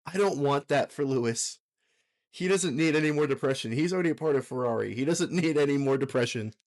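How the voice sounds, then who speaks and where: Hoarse young man, rambling podcast co-host. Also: mid-range voice, fairly quick, darkish tone. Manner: rambling, filler-heavy, laughs often. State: hoarse, recovering from illness. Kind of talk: casual podcast chat.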